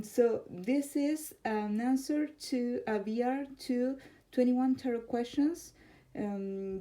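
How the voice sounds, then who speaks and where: A woman's voice: medium pitch, dark tone. A woman from Argentina with an Argentine Spanish accent, chatting casually about her tarot decks and laughing often. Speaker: tarot vlogger. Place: home room, neighbor's car heard outside.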